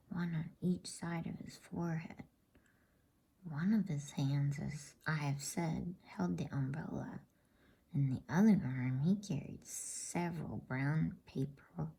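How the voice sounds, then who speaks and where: A woman softly whispering a bedtime story in ASMR style, breathy, with a halting delivery. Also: lowish voice, poor audio.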